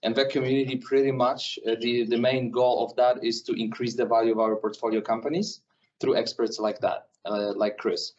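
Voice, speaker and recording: A man's voice: medium pitch, level tone, normal speed, a little mellow, far from the mic, highs cut off. A man hosting an event, introducing the speaker in a casual way that leans on filler phrases. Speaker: event organizer.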